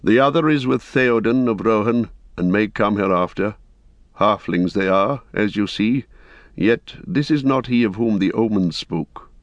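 Grave old wizard, read by a guy, low and measured, dry flat sound. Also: dry recording, muffled recording.

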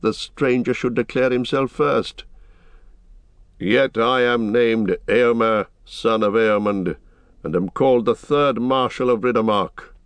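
A man's voice: medium pitch, slow pace, smooth, dry recording, voice pushed up. A stern, haughty horse-lord acted out by a male reader from a fantasy audiobook. He speaks in formal, archaic phrases with a challenging, suspicious edge. The sound is dry and close. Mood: stern, threatening. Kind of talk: dramatic audiobook fantasy reading.

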